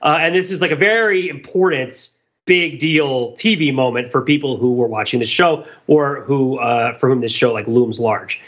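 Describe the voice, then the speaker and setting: A man's voice: mid-range voice, normal speed, a bit bright, muffled recording. A man talking through ideas on a podcast in a casual, analytical way, digressive and emphatic. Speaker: podcast host.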